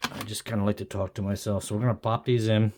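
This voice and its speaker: A man's voice: fairly low pitch, level tone, fast, darkish tone. A middle-aged man talking casually to himself as he shows something at home, hesitant, musing and self-deprecating. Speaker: amateur video maker. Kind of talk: casual rambling talk to himself while showing an object. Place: indoors at a wooden desk in a home room. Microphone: camera or phone on a tripod. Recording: clearly noisy home recording with clicks.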